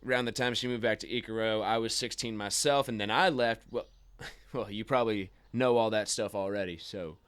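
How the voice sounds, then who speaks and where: A young uncle in an audio drama, voiced by a male actor. He is teasing yet guarded, a former rider with a middling pitch and a very close, dry sound. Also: fairly even intonation, brisk, a bit bright, smooth, dry recording, natural voice. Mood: guarded, candid. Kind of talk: naturalistic radio play acting.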